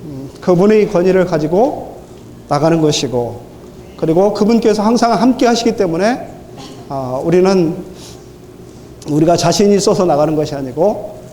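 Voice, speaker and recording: A hoarse man preaches with a mellow, slightly raised voice, often stalling on drawn-out fillers. His words ring through a reverberant church hall. A faint hiss sits under the recording. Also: sits high, expressive. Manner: hesitant, many drawn-out fillers. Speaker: church speaker. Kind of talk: sermon.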